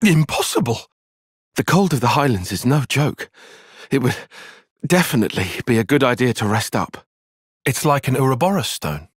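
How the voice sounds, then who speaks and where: An actor playing a bookish young male soldier-tactician in a video game, with a British accent and a measured, formal, dry way of speaking. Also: medium pitch, expressive, slightly rough, no room sound. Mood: alarmed, flustered, then calm, reasoning. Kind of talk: video game cutscene voice acting.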